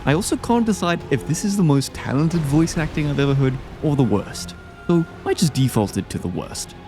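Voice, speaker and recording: A man delivers casual, opinionated video commentary with a lively, animated pitch. He talks in an informal, slangy way like a host sharing his rankings. Music plays faintly behind him. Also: moderate pace. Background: background music.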